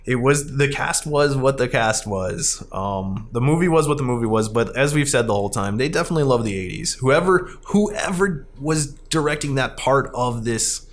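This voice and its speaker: A man's voice: mid-range voice, expressive, moderate pace, few pauses. A man speaking as a podcast host in a casual film discussion, rambling and opinionated. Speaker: podcast host, arcade president.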